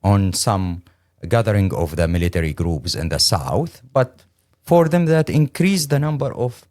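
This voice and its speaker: A middle-aged man from Syria with a Syrian Arabic accent, speaking English as a second language in an earnest, halting, emphatic interview account. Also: lowish voice, lively intonation, a little mellow. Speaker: interview guest, lawyer and humanitarian.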